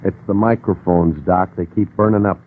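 Low-voiced lab assistant played by a man. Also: fairly even intonation, normal speed, very noisy, natural voice. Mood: practical, grumbling. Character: plain-spoken laboratory assistant to a radio inventor. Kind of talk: 1940s radio acting. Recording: tinny old radio, loud music behind.

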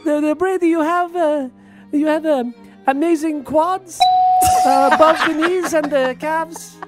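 A man voicing a nervous groom with a mock French-Italian accent, halting and full of broken grammar. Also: very high voice, steady tone, over music. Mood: nervous, flirty. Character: bumbling foreign groom reading clumsy vows.

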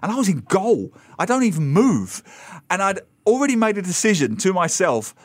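Man, radio co-host, high voice that swings a lot, dry close studio sound. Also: moderate pace, no echo. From England. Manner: animated storytelling, mimics reporters. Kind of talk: casual radio chat. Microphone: studio microphone.

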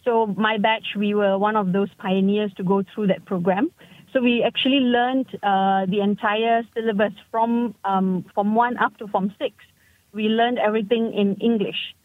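A woman's voice: mid-range voice, fairly even intonation, dry recording. Woman on a tinny phone line. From Malaysia. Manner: halting, thoughtful, searches for words. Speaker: caller. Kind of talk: call-in radio chat.

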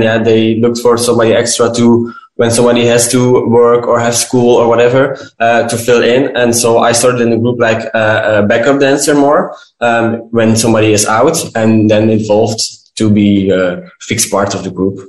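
Even-toned young Belgian man, echoey room. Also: mid-range voice, moderate pace, few pauses. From west Belgium. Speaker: podcast guest, dancer and beautician. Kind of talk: interview answers.

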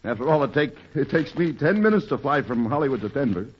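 A man's voice: medium pitch, big pitch swings, fast, close to an everyday voice. A man playing a dry, businesslike uncle in a 1940s radio comedy. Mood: straight, brisk. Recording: muffled 1940s radio recording.

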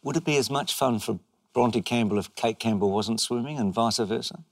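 A man hosting a broadcast interview, asking measured, prompting questions. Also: medium pitch. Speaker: interviewer, host.

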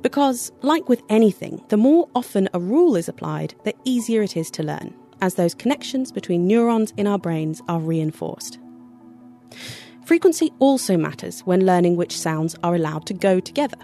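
A woman producer presenting a science podcast in clear, scripted explanatory narration. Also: mid-range voice, expressive, moderate pace, warm tone, soft background music. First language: English.

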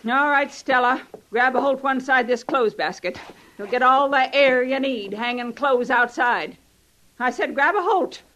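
High-voiced, scornful rural American frontier woman. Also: unhurried, pushed and bright, poor audio. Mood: mocking. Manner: sarcastic, scolding, folksy. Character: bitter, scornful, hard-bitten older frontier laundress. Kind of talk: old-time radio drama acting.

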